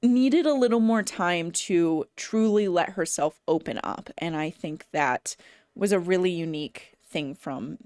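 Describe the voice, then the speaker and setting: A woman's voice: on the low side, measured pace, a little mellow, smooth, dry recording, clean studio sound. A woman giving a casual, enthusiastic book review to camera, speaking conversationally as a bookseller would. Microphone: phone camera.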